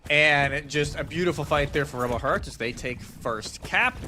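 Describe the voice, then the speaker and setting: A man's voice: a little high, normal speed. A man doing live play-by-play commentary on an esports match. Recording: clear background noise.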